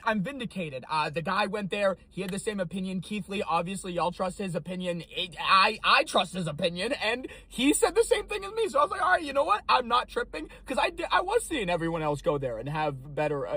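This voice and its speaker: A man's voice: sits high, sharp tone, husky. A young man from Texas, a food reviewer, talking casually to camera and defending his review in an exasperated way. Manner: defensive, exasperated, talks it through.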